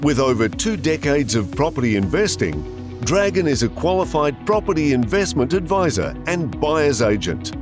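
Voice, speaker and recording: Animated male announcer over music. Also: a little high, normal speed. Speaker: podcast intro announcer. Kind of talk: scripted promotional voice-over.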